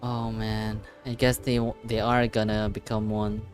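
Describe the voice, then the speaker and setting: A game streamer with a mid-pitched voice reacts live in a casual way. The delivery is slow, invested and excitable, with muttered asides. Music plays behind.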